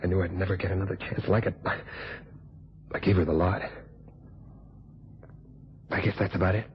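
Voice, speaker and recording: Low-voiced bitter murderer played by a man. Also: little pitch movement, normal speed, natural voice. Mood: weary, confessing. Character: cornered murderer, unfaithful family man, bitter and unrepentant. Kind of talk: vintage radio drama acting. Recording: dull noisy vintage radio recording.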